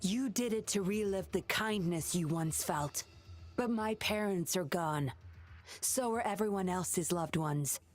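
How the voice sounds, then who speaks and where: An actress playing a mysterious former patroller in a video game, composed, firm and solemn. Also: female voice, medium pitch, measured pace, soft background music, close to an everyday voice. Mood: resolute. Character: mysterious, resolute former patroller woman, cool and secretive. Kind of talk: dramatic video game voice acting.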